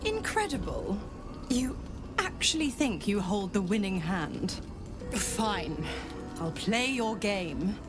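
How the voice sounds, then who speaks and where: A woman's voice: mid-range voice, very animated, over music. A woman voicing a smug, mocking female devil in a fantasy game, taunting and theatrical as she toys with her servant. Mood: amused scheming. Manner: taunting, theatrical, drawn-out delivery.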